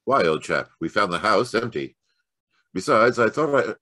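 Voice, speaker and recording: Guy doing a composed shrewd detective, old radio drama, deep voice that moves around a lot, polite and formal. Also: animated, bright, forceful tone, no echo, studio quality. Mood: shrewd, controlled. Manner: measured, courteous, old-chap formality. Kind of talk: old-time radio mystery acting.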